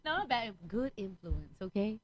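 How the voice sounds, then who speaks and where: Loud, laughing young woman comedian. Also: medium pitch, big pitch swings, normal speed. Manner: loud, profane, laughs often. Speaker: comedian guest. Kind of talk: casual live-stream chat.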